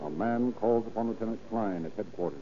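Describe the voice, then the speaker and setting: A man's voice: on the low side, fairly quick, warm tone, dull audio, lightly acted. A man narrating an old radio crime drama in a scripted, period style. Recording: old radio recording, music behind.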